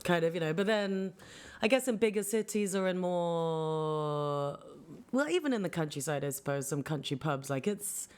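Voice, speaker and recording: A woman's voice: fairly low pitch, lively intonation, normal speed, darkish tone, smooth. A young woman hosting a casual podcast chat, talking loosely and trailing off mid-thought with fillers. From England. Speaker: podcast host.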